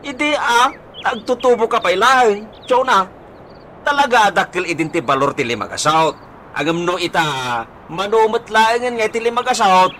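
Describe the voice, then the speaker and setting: A man's voice: sits high, animated, normal speed, sharp tone, a little hiss or hum. A man playing a hard-up, browbeaten husband in a radio comedy-drama, hesitant and complaining, with an Ilocano accent. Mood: protesting.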